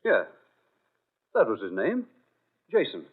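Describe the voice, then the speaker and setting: An actor playing a frightened, bewildered husband in an old-time radio drama, speaking urgently and haltingly with demanding questions. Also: male voice, medium pitch, wildly swooping pitch. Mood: shocked, questioning. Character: frightened, bewildered husband searching for his wife. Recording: muffled, narrow-band old radio recording.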